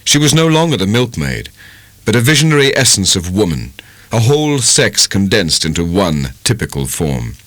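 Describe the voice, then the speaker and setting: Deep-voiced, expressive British male narrator. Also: moderate pace, dry recording. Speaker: audiobook narrator. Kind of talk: dramatic scripted literary narration.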